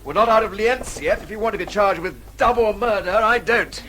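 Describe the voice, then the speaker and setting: English accent, slightly high pitch, brisk, dryly understated, resourceful man on the run, radio play, faint vehicle noise. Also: pushed and bright. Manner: clipped, wryly understated. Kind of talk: BBC radio drama acting.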